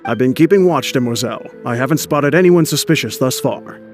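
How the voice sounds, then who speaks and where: Game henchman man acting, dependable guard with a medium-pitched voice, reassuring, faint music behind. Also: brisk, a little mellow, lightly acted. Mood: reassuring, dutiful. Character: loyal, reassuring male guard of an organization. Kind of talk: video game cutscene voice acting.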